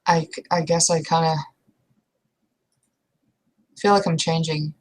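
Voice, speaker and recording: A woman roleplaying a weary, conflicted, blunt young female werewolf, speaking haltingly and coarsely in an improvised monologue. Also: low-pitched, fairly even intonation. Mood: resigned, unsure. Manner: hesitant, halting, coarse-mouthed.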